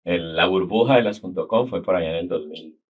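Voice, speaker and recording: A middle-aged Colombian man with a Cali-area accent, a guest telling his life story in a measured, reflective way. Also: deep voice, husky, phone-quality sound. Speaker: guest, business consultant. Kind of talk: casual interview talk.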